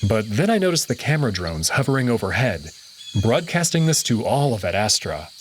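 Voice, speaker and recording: Male narrator, low-pitched, expressive pitch, dramatic first-person storytelling. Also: a little fast, slight background noise. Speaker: narrator of a visual novel.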